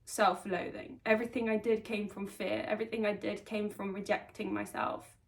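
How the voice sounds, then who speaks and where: A woman from Sweden telling her own life story to camera, earnest and reflective, with halting restarts. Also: medium pitch, level tone. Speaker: vlogger. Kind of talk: personal confessional storytelling. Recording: voice slightly distant from the mic.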